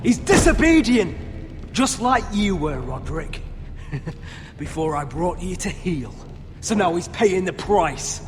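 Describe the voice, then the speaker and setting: A man's voice: high-pitched, sing-song, takes it slowly, background noise, heavily acted. A man playing an arrogant, sadistic young lord in a fantasy video game, taunting and sneering as he bullies. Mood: cruel taunting. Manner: taunting, sneering, foul-mouthed. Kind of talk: dramatic video game acting. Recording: long reverb, music behind.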